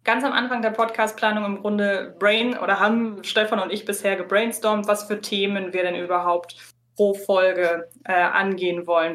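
A woman speaks at a medium pitch in a room with noticeable echo. She talks casually as an interviewed podcast co-host, laying things out in long, detailed sentences. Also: a bit bright, a little hiss or hum. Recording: roomy, echoey sound.

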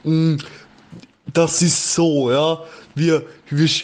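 A man leaving a voice message, haltingly playing a dim schoolboy with a verbal tic. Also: a little high, flat delivery, a little mellow. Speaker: listener sending a voice message. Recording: noisy amateur voice message.

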